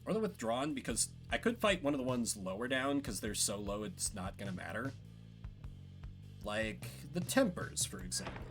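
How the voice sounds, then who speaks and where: A man's voice: mid-range voice, very animated, moderate pace, fairly bright tone, faint music behind. A man giving casual game commentary, thinking aloud and reading out story narration as he plays. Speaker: streamer playing and solo-voicing a story game.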